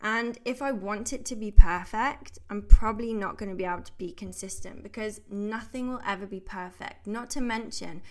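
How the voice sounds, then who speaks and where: Woman in her thirties, health coach, chatty podcast host, upbeat, mid-pitched voice. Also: normal speed, few pauses. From United Kingdom. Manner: chatty and upbeat, laughs easily. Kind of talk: casual podcast monologue.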